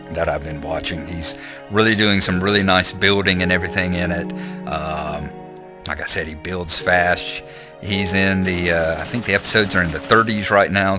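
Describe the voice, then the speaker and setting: Hoarse, low-voiced man. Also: pushed and bright. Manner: rambling, folksy, many fillers. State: hoarse from a chest cold. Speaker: channel host. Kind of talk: casual gameplay vlog commentary.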